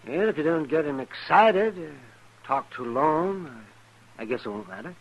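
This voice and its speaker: An actor playing a blunt, flustered town doctor in an old-time radio western, speaking haltingly. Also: male voice, a little high, expressive, dull audio. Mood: grim, matter-of-fact. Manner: halting, hesitant. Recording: noisy vintage radio recording.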